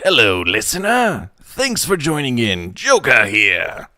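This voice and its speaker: A man's voice: medium pitch, huge pitch swings, slow, pushed and bright, no echo, studio quality, voice pushed up, heavily acted. A man in his thirties putting on a theatrical villain voice to greet a listener. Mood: gleeful. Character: Joker-style cackling male villain greeting.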